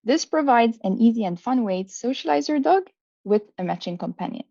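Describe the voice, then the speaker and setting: Creaky-voiced woman pitching, dull call audio. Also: animated, brisk, dark tone, no echo. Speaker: startup co-founder. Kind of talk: rehearsed elevator pitch. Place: online video call.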